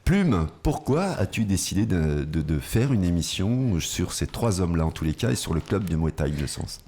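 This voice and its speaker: A man hosting a casual radio interview in a studio, friendly and quick to laugh. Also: sits low, big pitch swings, a little mellow, husky. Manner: friendly, asks short questions, laughs often. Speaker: radio host.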